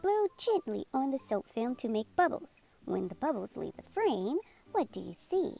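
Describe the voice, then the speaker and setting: Woman, lively swooping intonation, children's storybook narration, deliberate delivery, music behind. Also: fairly high pitch, gravelly. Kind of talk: scripted children's read-aloud narration.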